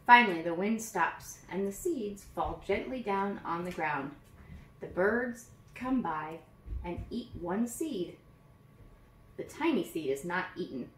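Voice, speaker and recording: A woman reading a picture book aloud to young children in an expressive storytelling manner, with simple phrasing. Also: fairly low pitch, takes it slowly. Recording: roomy echo, distant from the mic.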